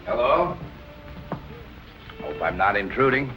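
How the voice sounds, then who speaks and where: An actor playing a calm police captain in 1940s film noir style, questioning in a measured, politely insistent way. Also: male voice, lowish voice, lo-fi sound, soft background music. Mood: casual, probing.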